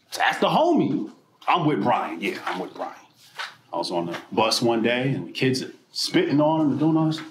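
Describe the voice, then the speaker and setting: Animated man telling stories in an echoey room. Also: fairly high pitch, slight background noise. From New Jersey, US. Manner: animated, profane, swings between loud and quiet. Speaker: speaker at a political mixer. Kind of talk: personal storytelling speech. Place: event room. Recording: echoey room with faint ambience.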